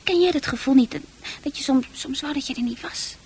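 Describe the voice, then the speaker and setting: A woman's voice: high voice, fairly quick, a little mellow, close to an everyday voice. An actress playing a troubled eighteen-year-old girl in a radio play, hesitant and wistful, guarded but longing to escape. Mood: wistful, melancholy. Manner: hesitant, wistful delivery with repeated words.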